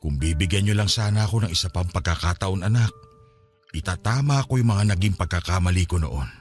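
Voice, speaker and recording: Man acting remorseful low-voiced father, pleading. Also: fairly quick, natural voice. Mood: pleading, hopeful. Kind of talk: radio drama acting. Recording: dramatic music behind the voice. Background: music.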